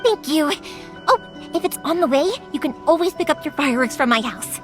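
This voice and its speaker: A woman's voice: sits high, lively intonation, fairly quick. A woman playing a cheerful, talkative young fireworks maker in an anime-style video game, rambling along enthusiastically. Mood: friendly, reassuring. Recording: music under the dialogue. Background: music.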